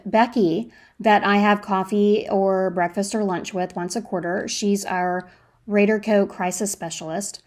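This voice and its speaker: A woman hosting a solo podcast, chatting conversationally through personal anecdotes and practical advice, leaning on key words. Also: medium pitch.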